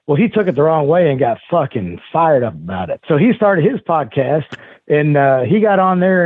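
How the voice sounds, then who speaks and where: A man in his fifties with a fairly high voice talks over a thin phone connection. He tells stories casually as a guest, blunt and quick to swear. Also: dry recording. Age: 50s. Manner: blunt, profane. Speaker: guest, retired professional wrestler. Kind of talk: casual storytelling chat. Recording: tinny phone-line audio.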